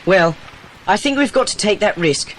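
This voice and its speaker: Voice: medium pitch, lively intonation, normal speed, a little hiss or hum, close to an everyday voice, rough recording. An actor playing an earnest, practical British boy in a dramatised children's adventure audiobook, with a well-spoken manner. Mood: serious, worried.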